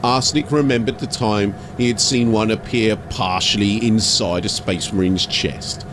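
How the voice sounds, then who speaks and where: A man's voice: lowish voice, steady tone, moderate pace, noisy background. A man giving a scripted, dramatic narration as an audiobook storyteller.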